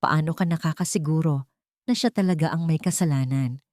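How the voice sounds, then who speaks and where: A man's voice: fairly high pitch, fairly quick, darkish tone, dry recording, studio quality, natural voice. A woman voicing a tired, confused grown son burdened with guilt in a dramatic love story. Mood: guarded. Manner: tired, subdued.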